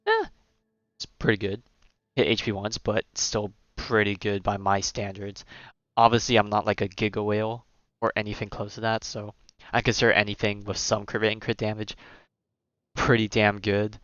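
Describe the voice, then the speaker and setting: A man's voice: fairly low pitch, little pitch movement, moderate pace, a bit bright, no room sound, highs cut off. A man giving casual livestream game commentary, rambling and thinking aloud in a hesitant way. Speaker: game streamer.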